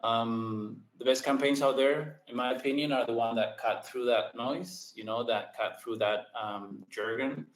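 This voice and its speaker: A man from Argentina, a guest on a podcast, speaking in quick bursts with frequent ums and restarts. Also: medium pitch, fairly even intonation. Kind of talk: casual interview conversation. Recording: roomy echo.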